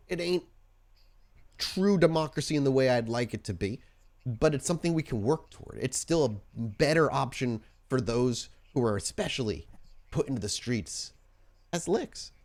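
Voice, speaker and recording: A man's voice: medium pitch, darkish tone, lightly acted. A man voicing a streetwise female vampire rebel in a tabletop roleplay, blunt and argumentative with folksy, profane phrasing.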